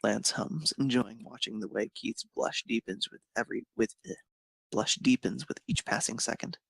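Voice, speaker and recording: A man narrates romantic fan fiction with expression. His mid-pitched voice is strongly creaky and husky. The sound is dry, with no room around it. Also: moderate pace, a bit bright, no room sound, low-quality recording.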